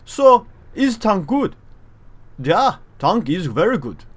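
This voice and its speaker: A man putting on a comical Russian man with a mock Russian accent and broken English, in a higher, strained voice. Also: big pitch swings, takes it slowly, fairly bright tone, breathy edge, exaggerated voice. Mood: hammy.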